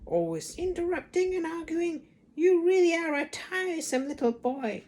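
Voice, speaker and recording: A woman's voice: very high-pitched, very animated, normal speed, voice pushed up. A shrieking, spiteful old grandmother. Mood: snappish scolding. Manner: screeching, snapping, yelling. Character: shrill cranky old grandmother, bossy and spiteful.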